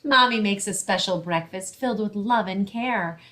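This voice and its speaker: A woman's voice: medium pitch, moderate pace, a bit bright. A woman reading a picture book aloud and explaining things simply for children, as a librarian hosting story time.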